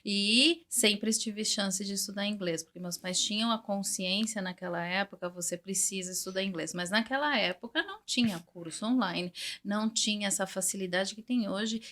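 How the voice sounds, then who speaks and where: Woman in her forties, born in Chile, a guest English teacher chatting about her career. She talks in an animated, open way, her voice sitting at a middle pitch, and often ends her thoughts with little tag questions. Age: 40s. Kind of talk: casual interview chat.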